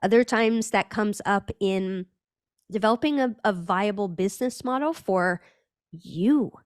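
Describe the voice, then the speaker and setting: A woman's voice: medium pitch, measured pace, no echo, studio quality. A woman from Northern California, a brand strategist talking as a podcast guest, thoughtful and searching, with frequent restarts. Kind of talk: reflective conversational interview.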